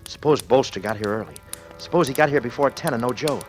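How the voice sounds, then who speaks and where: A man's voice: medium pitch, expressive, fast, faint music behind, close to an everyday voice. An actor playing a desperate, panicked businessman who narrates his ordeal in clipped, urgent sentences, in 1940s radio thriller style. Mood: anxious dread. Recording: noisy old-time radio recording.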